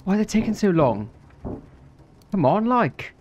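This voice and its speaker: A man's voice: high voice, sing-song, unhurried, a little mellow, highs cut off. A British man in his thirties giving casual let's play commentary and reading out dispatcher lines. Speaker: gaming video host. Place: small indoor room.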